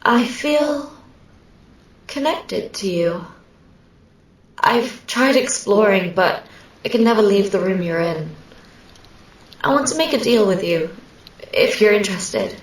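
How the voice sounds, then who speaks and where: Woman acting a slow, wistful demon girl, echoing. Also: mid-range voice, expressive, distant mic. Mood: shy, hopeful. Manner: hesitant, halting, wistful, intimate. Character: lonely, clingy female sleep paralysis demon yearning to be real. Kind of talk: scripted ASMR roleplay addressed to a sleeping listener. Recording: roomy echo, set back from mic.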